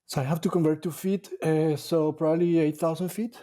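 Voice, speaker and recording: Mellow-voiced man, thoughtful. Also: on the high side, fairly even intonation, brisk. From Colombia. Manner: thoughtful, with frequent fillers and restarts. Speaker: dairy nutrition scientist guest. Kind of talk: casual interview conversation.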